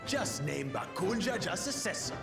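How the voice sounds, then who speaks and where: A man's voice: sits high, very animated, moderate pace, gravelly, full character voice. A man voicing an impatient, scornful contender in a video game cutscene, blunt and dismissive. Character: impatient, scornful male claimant at a royal contest. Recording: roomy reverb under loud music.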